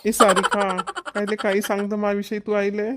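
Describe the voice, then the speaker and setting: Someone doing a complainy cartoon brother, village kid, rattles words off fast, vidarbha marathi accent. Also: mid-range voice, monotone, background noise, natural voice. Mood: defensive. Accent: Maharashtrian, Vidarbha Marathi. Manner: complaining, argumentative. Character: whiny, argumentative boy, brother in village family. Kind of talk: cartoon family comedy dialogue.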